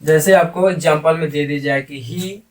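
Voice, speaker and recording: A man's voice: on the high side, fairly even intonation, normal speed. A man teaching grammar like a classroom teacher, repeating key phrases and putting questions to his students. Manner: repetitive, question-and-answer teaching, addressing students. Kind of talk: classroom-style grammar lesson in Hindi with English terms. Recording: distant microphone.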